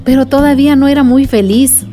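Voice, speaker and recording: An actress playing a gentle aunt telling a story to a child in a radio drama. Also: female voice, high voice, steady tone, a little fast, faint music behind, natural voice. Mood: gentle, reflective.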